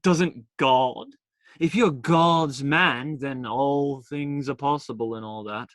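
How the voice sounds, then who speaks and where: An actor playing a bold, roguish, idealistic outlaw hero in a radio play. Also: male voice, mid-range voice, slow, a bit bright, dry recording, clean studio sound. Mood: roguish persuasion.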